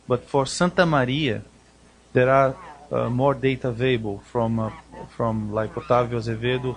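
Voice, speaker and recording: A man's voice: mid-range voice, creaky. A man chairing an academic discussion, speaking off the cuff and often rephrasing himself. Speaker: session chair.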